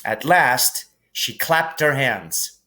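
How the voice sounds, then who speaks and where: A man's voice: medium pitch, lively intonation, very slow, sharp tone. A man reading a children's novel aloud as a storybook narrator.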